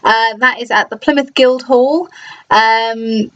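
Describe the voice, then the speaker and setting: Young girl vlogging from her bedroom, bit high, kinda dull lo-fi sound, lots of ums. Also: steady tone, slow pace, a bit bright. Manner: hesitant, lots of restarts and ums. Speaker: cosplayer and vlogger. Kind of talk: casual unboxing vlog.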